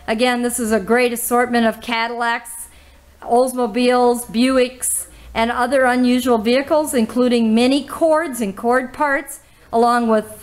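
A woman, hosting a casual auction walkthrough in an old barn full of cars, listing items with little "uh" pauses. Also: a little high. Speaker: auctioneer host giving a car walkthrough. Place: inside old barns and sheds full of cars.

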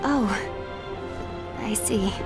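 A woman acting a composed, dutiful masked guild leader in a game cutscene, speaking in a measured, formal, earnest way. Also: mid-range voice, over loud music. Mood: uneasy.

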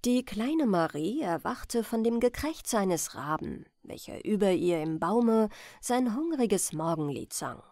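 A woman reading a bedtime fairy tale as scripted audiobook narration, in an expressive, deliberate storytelling manner. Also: mid-range voice, no room sound, studio quality. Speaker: audiobook reader.

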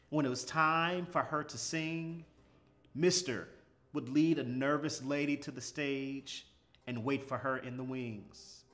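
A middle-aged man reading a children's storybook aloud with expressive, measured, dramatic phrasing and pauses. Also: medium pitch. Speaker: storybook reader. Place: small furnished indoor room, seated. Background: music.

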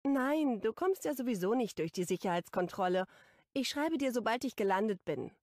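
A woman's voice: on the high side, animated, moderate pace, dry recording, clean studio sound. A female audiobook reader voicing a caring, protective older sister with a motherly touch. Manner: gentle, motherly delivery. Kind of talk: audiobook dialogue reading.

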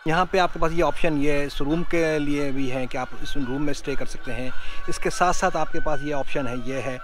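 Male Pakistani travel vlogger, slightly high-pitched, conversational, music clearly underneath. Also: steady tone, moderate pace. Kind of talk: casual vlog narration and chatting with people. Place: outdoors on a boat and at a beach resort.

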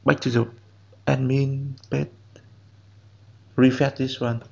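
A man whose first language is Vietnamese, giving halting step-by-step instructions in a screen-recorded software tutorial. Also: medium pitch, level tone, creaky, highs cut off. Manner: slow, halting step-by-step instructions in non-native English. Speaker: programming instructor.

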